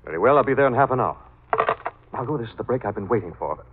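Muffled old radio, man playing a quick talking shrewd detective, lowish voice, smooth 1940s drama hero. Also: animated, background noise, natural voice. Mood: grave. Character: shrewd, urbane, persistent amateur male detective. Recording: muffled vintage radio recording.

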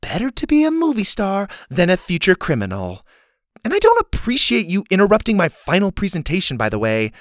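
A man's voice: mid-range voice, wildly swooping pitch, slow, darkish tone, dry recording, clean studio sound. A man voicing a bossy, indignant and theatrical schoolgirl in a haughty, scolding manner for a storybook. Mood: haughty, offended.

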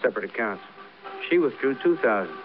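Man playing quick wry american detective sidekick, old radio show, muffled sound. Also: medium pitch, sing-song, natural voice. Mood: brisk, informal. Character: brisk, needling male police detective sidekick. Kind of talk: old-time radio crime drama acting. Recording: muffled, noisy vintage radio broadcast.

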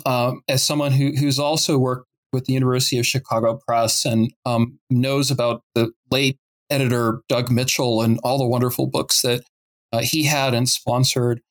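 A man's voice: mid-range voice, fairly even intonation, normal speed, low-quality recording. A man hosting an interview podcast, moving between prepared introductions and conversational questions. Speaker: podcast host.

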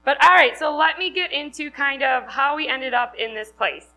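A woman's voice: sits high, normal speed, sharp tone, rough recording. A woman in her thirties from Wisconsin with a Wisconsin accent, giving a chatty, upbeat talk to a live audience and laughing often. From Wisconsin, USA. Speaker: conference speaker.

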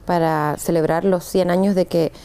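A Chilean singer who has lived in Mexico for years, chatting as a guest in an enthusiastic, friendly way. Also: female voice, on the low side, fairly even intonation, normal speed, dark tone, clean tone. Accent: Chilean softened by years in Mexico. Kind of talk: casual conversational interview.

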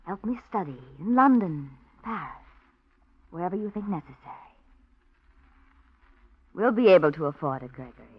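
A woman playing a cool, scheming married woman who plots to kill her husband, in a 1940s radio drama, speaking in a hushed, persuasive way. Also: lowish voice, animated, normal speed, pauses often, breathy edge, no room sound. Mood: determined. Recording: dull old radio broadcast recording.

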